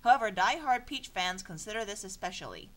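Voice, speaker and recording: Female amateur narrator, animated intonation, exasperated and sardonic, informal comedic read. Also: mid-range voice, fairly quick. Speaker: narrator of a comedic fan fiction reading. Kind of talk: informal dramatic reading with asides.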